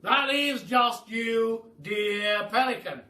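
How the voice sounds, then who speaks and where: A man voicing a loud, pompous, generous aristocratic Duke from a storybook, grand and exclamatory. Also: very high voice, lively intonation, slow pace, pitched above the performer's own voice, exaggerated voice. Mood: hearty, generous. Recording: roomy echo, far from mic.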